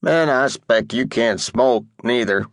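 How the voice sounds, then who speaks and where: A man's voice: medium pitch, a little slow, gravelly, no echo, clean studio sound. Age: old. Figure: a man voicing a cantankerous old cowboy. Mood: grumbling. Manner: blustering, profane drawl. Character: gruff old cowboy, foul-mouthed and cantankerous.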